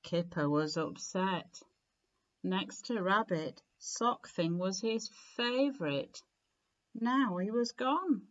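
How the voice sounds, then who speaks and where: Woman, children's story reader, slow pace, expressive, dramatic emphasis, slightly dull recording. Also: mid-range voice. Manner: deliberate, clearly phrased, dramatic emphasis.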